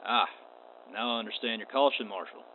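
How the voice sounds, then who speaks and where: A man's voice: on the low side, brisk, voice pushed down, close to an everyday voice. A man playing a courteous, unflappable wandering space cowboy in a radio play, with a laconic drawl. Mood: wry, agreeable. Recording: tinny band-limited audio with clear noise.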